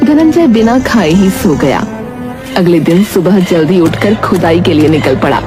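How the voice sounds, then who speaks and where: A woman's voice: on the low side, animated, a little slow. Woman narrating a cartoon moral story. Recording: music behind the voice.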